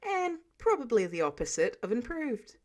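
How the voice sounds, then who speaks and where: A woman reading scripted fiction aloud as an expressive storyteller. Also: on the high side, normal speed. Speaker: audiobook reader of fan fiction.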